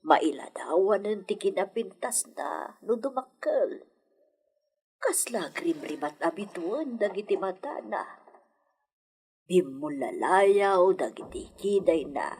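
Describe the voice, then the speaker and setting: A woman's voice: mid-range voice, animated, slow, a little mellow, slightly rough, full character voice. A woman with an Ilocano accent playing a sentimental, wistful older lady of a hacienda in a radio drama, with birdsong behind her. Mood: admiring.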